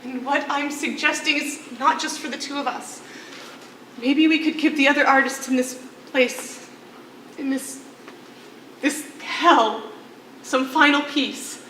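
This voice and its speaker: A woman acting a determined, idealistic imprisoned artist in a stage play, speaking with emphatic, deliberate persuasion. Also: high-pitched, fairly even intonation, bright, forceful tone. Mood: urgent, resolute. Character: determined, idealistic female artist prisoner rousing her companion. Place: theatre stage. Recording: echoey, faintly noisy, distant stage recording.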